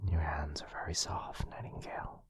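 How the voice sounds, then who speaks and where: A man playing a tender, protective vampire lover in an intimate boyfriend ASMR roleplay, hushed, breathy and soothing, close to the mic. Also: very deep voice, completely flat delivery, moderate pace. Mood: gentle, affectionate. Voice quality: breathy, husky whisper. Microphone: close, dry microphone.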